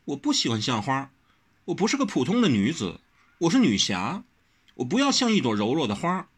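A man's voice: high voice, wildly swooping pitch, moderate pace, a bit bright, husky, pitched above the performer's own voice, exaggerated voice. A man voicing a headstrong, pouty young swordswoman in an audiobook, whiny and insistent. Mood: pouting. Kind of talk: audiobook character reading.

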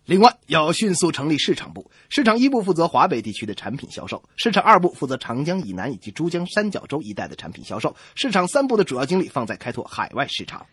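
A man's voice: fairly high pitch, very animated, fast, few pauses, fairly bright tone, slightly rough, no room sound. A man co-hosting a scripted radio comedy show, trading banter in a mock-serious, theatrical manner. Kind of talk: scripted comic banter and joke telling.

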